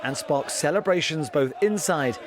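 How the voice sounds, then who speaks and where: A man reporting for the news with a measured storytelling delivery, recorded outside a stadium. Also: a little high. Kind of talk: news report narration and vox pops. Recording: crowd noise, outdoor stadium.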